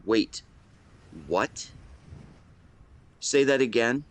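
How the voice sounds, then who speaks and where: A man acts out a shy young man baring his heart to his best friend in a romantic audio drama. His medium-pitched voice moves slowly and haltingly. The delivery is intimate, earnest and full of feeling. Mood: nervous, earnest. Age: young adult.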